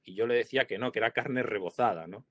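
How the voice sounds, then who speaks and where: A man's voice: on the low side, fast, fairly bright tone, dry recording, clean studio sound. Thoughtful Spanish man in his forties. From Spain. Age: 40s. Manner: thoughtful, hesitant, many fillers and tag questions. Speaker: translator, prize-winning guest. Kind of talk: reflective interview conversation. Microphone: video call.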